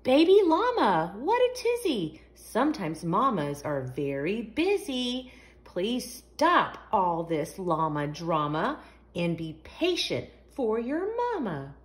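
Female storytime host for young kids, lively swooping delivery at an unhurried pace, sing-song read-aloud. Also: medium pitch. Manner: sing-song, asks the young listeners questions. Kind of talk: expressive read-aloud of a picture book for young children.

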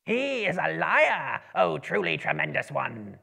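A man voicing a servile, accusing goblin henchman with a raspy, strained voice. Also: fairly high pitch, very animated, unhurried, bright, forceful tone, pitched above the performer's own voice, heavily acted.

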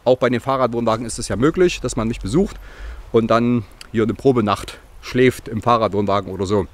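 A man's voice: mid-range voice, brisk. A man in his forties with a slight cold, chatting casually and rambling cheerfully to a handheld camera outdoors on a rural yard. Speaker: vlogger. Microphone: handheld camera at arm's length.

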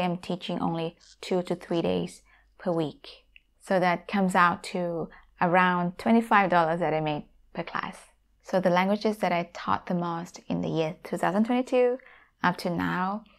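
A woman in her thirties with a Thai accent chats straight to camera from her room at home. Her voice sits low and has a gravelly, fry-tinged edge. She speaks through a clip-on mic in a friendly, direct way. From Thailand. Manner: friendly, direct address to viewers. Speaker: YouTuber and language teacher. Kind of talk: casual talk to camera. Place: home room with guitars on the wall. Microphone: lapel mic.

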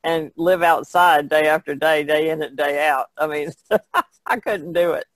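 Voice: medium pitch, steady tone, measured pace, dry recording. A podcast panelist talking informally in a casual conversation. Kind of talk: casual chat.

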